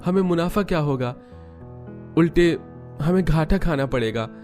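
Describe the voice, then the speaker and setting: Young village son , male actor for a cartoon: higher male pitch, earnest, plaintive farmer. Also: animated, moderate pace, darkish tone, soft background music, natural voice. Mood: pleading. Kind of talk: Hindi cartoon story dialogue.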